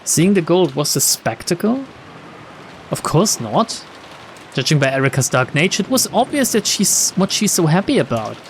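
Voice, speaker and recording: A man with a slightly high voice narrates a visual novel. He reads the text aloud and drops in sarcastic, sweary remarks, with faint rainy game ambience behind him. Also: big pitch swings, normal speed, a little hiss or hum. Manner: wry, sarcastic asides, swears. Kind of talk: reading game text with casual commentary.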